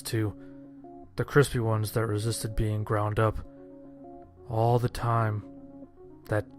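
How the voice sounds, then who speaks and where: Male story narrator, lowish and flat, sardonic deadpan, quiet music bed. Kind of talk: scripted first-person horror-comedy story narration.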